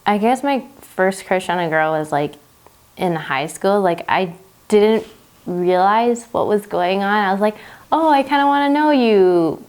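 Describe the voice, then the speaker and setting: A woman's voice: mid-range voice, measured pace, a little mellow, slight background noise. A young woman, a college student, chatting casually and a little self-consciously in a documentary interview. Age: young adult.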